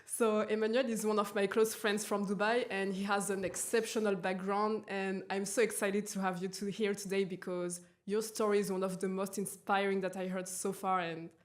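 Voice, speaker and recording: A woman's voice: mid-range voice, little pitch movement, moderate pace, hardly any pauses. Woman hosting a podcast interview. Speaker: podcast host.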